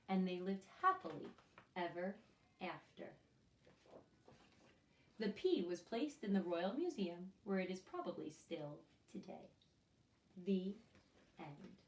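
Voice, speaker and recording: A woman storyteller reads a picture book to small children with a very lively, swooping delivery. She breaks off to put questions straight to her young listeners. The sound is roomy and echoing, a little away from the microphone. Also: medium pitch. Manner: expressive, addresses children directly with questions. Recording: echoey room, distant microphone.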